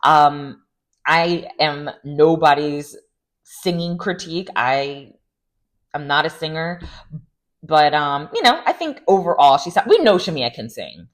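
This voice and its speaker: A woman giving a casual, opinionated recap of a reality TV show, chatty and animated, with sarcastic asides. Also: low voice, a little slow. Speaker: recap vlogger.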